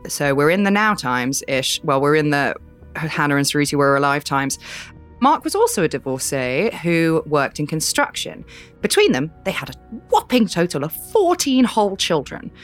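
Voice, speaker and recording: A woman's voice: low-pitched, fairly bright tone. A woman co-hosting a podcast, chatting casually with dry, sarcastic quips. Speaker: podcast co-host. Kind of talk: casual chat.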